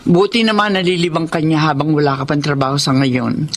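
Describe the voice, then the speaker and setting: A Filipino actress playing a practical, frank middle-aged wife in a Tagalog radio drama. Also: female voice, sits low, fairly even intonation, a little fast, slight background noise. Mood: matter-of-fact.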